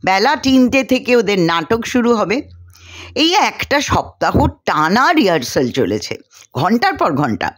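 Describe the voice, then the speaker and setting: A woman reading a short story aloud in an expressive, deliberate storytelling manner. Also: mid-range voice. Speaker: story reader. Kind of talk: scripted narration of a short story, dramatized dialogue.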